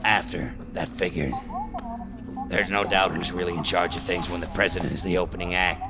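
Ator fazendo detetive cínico de voz grave, americano. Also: male voice, low-pitched, fairly even intonation, a little fast, noisy background, close to an everyday voice. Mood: grumbling, cynical. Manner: sardonic, needling, blunt. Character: gruff, cynical, taunting male police detective. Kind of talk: audio drama acting. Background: loud music.

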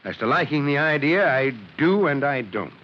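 An actor playing a gruff but kindly middle-aged fire chief in a 1940s radio drama, speaking emphatically. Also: male voice, on the high side, animated, moderate pace, lightly acted. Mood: gruff, frank. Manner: emphatic, oratorical. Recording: noisy, dull vintage radio recording.

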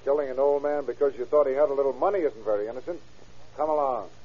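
An actor as a tough, indignant lawman in a vintage radio crime play. He fires his words quickly through a muffled, noisy old recording. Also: medium pitch, natural voice. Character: tough, indignant male police interrogator pressing a killer. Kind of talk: 1930s radio acting.

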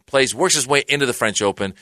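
A man from Iran, a comedian guest, telling a rambling, animated story in casual chat, with restarts and acted-out quotes. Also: medium pitch, fast, dry recording.

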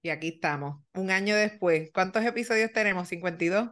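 A woman co-hosting a casual podcast, chatty and relaxed, mixing English words into her Spanish and often restarting a phrase. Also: medium pitch, quick pace. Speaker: podcast co-host. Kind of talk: casual bilingual chat.

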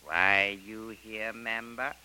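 An actor playing a servile but treacherous native jungle guide in an old radio serial, speaking clipped broken pidgin English on a hissy recording. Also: male voice, fairly low pitch, fairly even intonation, slow pace, sharp tone, full character voice. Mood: conspiring. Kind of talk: 1930s radio serial acting.

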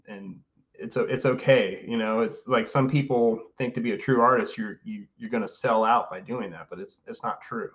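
A forty-year-old man talks loosely and at length, full of ums and restarts. His voice has an ordinary middle pitch. The call audio sounds dull and lo-fi. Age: 40s. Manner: relaxed, rambling with many fillers and restarts. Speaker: guest artist. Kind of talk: casual interview chat.

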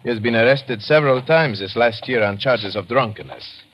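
An actor playing a polite, precise Panamanian police lieutenant in an old radio drama. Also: male voice, medium pitch, moderate pace, a little hiss or hum, close to an everyday voice. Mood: polite, formal. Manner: formal, deferential, precise. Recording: muffled old radio recording, loud music.

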